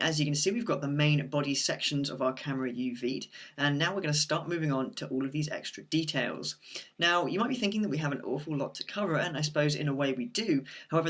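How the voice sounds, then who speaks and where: A man with a croaky voice from a sore throat, talking through a screen-recorded software tutorial in a steady explanatory way. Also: on the high side. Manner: steady explanatory walkthrough with occasional fillers.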